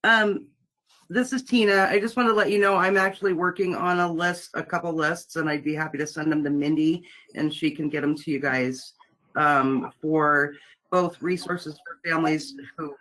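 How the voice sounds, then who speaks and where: A woman's voice: low voice, steady tone, moderate pace, fairly bright tone, poor audio. A woman on a video call offering to share resource lists in a helpful way.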